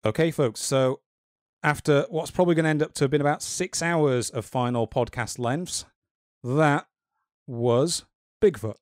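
A man hosting a casual podcast, talking in a measured way with wry, dry humor. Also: a little high, dry recording. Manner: measured, wry and dryly joking. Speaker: podcast host.

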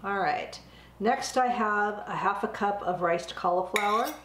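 Middle-aged woman, slightly hoarse from a cold, friendly cooking host, echoey home kitchen. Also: mid-range voice, measured pace, a bit bright, slight background noise. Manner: friendly, chatty, step-by-step instructions. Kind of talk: casual cooking demonstration.

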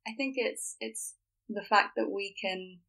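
A woman's voice: mid-range voice, unhurried, creaky, a bit bright. A woman from Germany speaking as a podcast guest in a thoughtful, earnest, conversational way. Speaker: podcast guest, filmmaker and actor.

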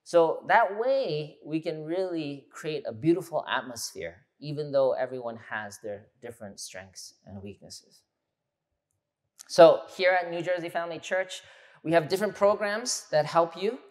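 A man in his thirties, a pastor giving a conversational, animated sermon in a church hall on a lapel mic. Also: a little high, measured pace. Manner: animated, gesturing, conversational teaching.

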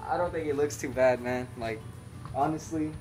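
A teenage boy filming himself on a phone at home, talking low and mumbly in casual slang. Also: moderate pace, darkish tone, soft background music. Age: teens. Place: small room at home. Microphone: phone camera at arm's length.

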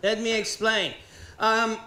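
A man's voice: high-pitched, little pitch movement, slow, sharp tone. A man, doing a rambling, profane stand-up routine on stage with a handheld mic. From Venezuela. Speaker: stand-up comedian. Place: theater stage. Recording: echoey theater.

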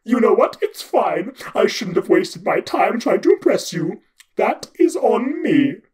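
A man voicing a huge, petulant, tick-like male Dark God who acts like an internet troll, loud, whiny and theatrical. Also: high-pitched, very animated, measured pace, pitched above the performer's own voice. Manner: loud, whiny, theatrical, touchy about his hair. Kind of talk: storytelling with voiced characters.

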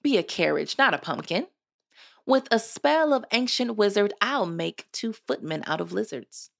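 A woman voicing a tiny, twittering fairy godmother in a storybook, kindly and bossy, with a brisk, affectionate way of talking. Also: mid-range voice, lively intonation, gravelly, pitched above the performer's own voice. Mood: chanting spells. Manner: brisk, affectionate, chanting rhymed spells.

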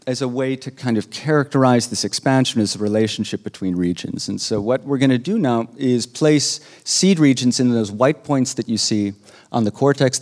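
A man's voice: medium pitch, a little mellow. Man lecturing in a reverberant hall. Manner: measured, with frequent "uh" pauses while explaining slides. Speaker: keynote speaker. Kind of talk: academic conference talk.

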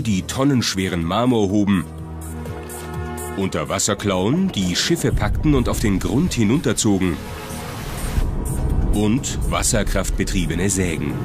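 A man with a low voice narrates a documentary from a script. He speaks as the main narrator, with prominent music playing behind him.

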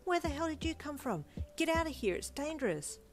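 Woman playing the anxious girlfriend in an audio story, low-pitched, with faint music behind. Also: moderate pace, darkish tone, lightly acted. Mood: urgent. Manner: worried, puzzled, smug at times.

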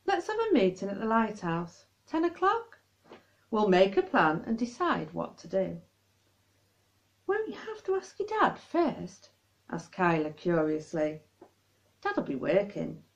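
A woman's voice: mid-range voice, huge pitch swings, unhurried. A woman reading a children's story aloud on a lo-fi home recording. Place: high-ceilinged living room.

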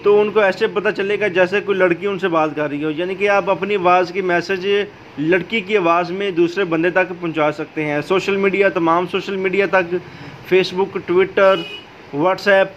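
Male tutorial host, notably high voice, explaining step by step over slightly noisy audio. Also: moderate pace. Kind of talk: how-to video narration. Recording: dull lo-fi audio, steady background noise.

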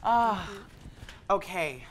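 A man's voice: sits high, lively intonation, drawn-out words, a crowd in the background. A young man co-hosting a studio talk show, talking in a flamboyant, exclamatory and sassy way. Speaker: co-host.

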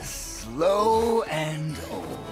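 A man's voice: fairly high pitch, wildly swooping pitch, extremely slow, loud music behind the voice, exaggerated voice. An actor playing a cocky, menacing male god who mocks and goads his foe in a video game. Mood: mocking. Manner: mocking, goading. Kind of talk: dramatic video game acting. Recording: loud game score and fight sounds behind.

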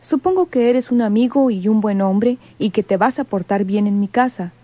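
Actress as a cautious woman, dark husky voice. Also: mid-range voice, normal speed, dry recording, lightly acted. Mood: cautious, firm. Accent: Mexican. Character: cautious, stern woman hunted by gangsters. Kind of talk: Mexican radio serial acting.

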